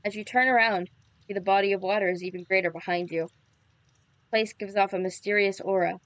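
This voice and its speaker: A woman's voice: mid-range voice, steady tone, fairly quick, fairly bright tone, no room sound, rough recording. A woman livestream host reading a visual novel aloud, with playful casual reactions. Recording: background music under the voice. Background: music.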